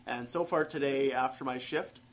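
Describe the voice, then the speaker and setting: A man's voice: medium pitch, moderate pace. A tired man, co-hosting a casual podcast and rambling about long work shifts. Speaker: podcast co-host. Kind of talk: casual chat.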